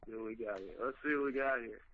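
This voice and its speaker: A man's voice: medium pitch, fast talker, muffled recording, noisy background. A man co-hosting a radio show in casual banter, needling and foul-mouthed, on a radio stream.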